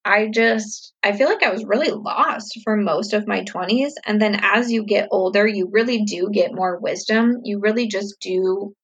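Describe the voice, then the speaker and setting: Casting: thirties woman, vlogger doing casual Q&A, husky tone, slightly muffled lo-fi recording. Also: medium pitch, fairly bright tone. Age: 30s. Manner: upbeat, chatty, reflective with many fillers. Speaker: vlogger answering viewer questions. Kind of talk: casual Q&A chat to camera.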